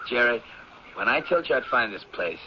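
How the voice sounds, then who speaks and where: A man acts an earnest young expedition leader in an old radio play. His voice is mid-pitched and dramatic, on a muffled recording with some hiss. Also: lively intonation, moderate pace, close to an everyday voice. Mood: eager, confident.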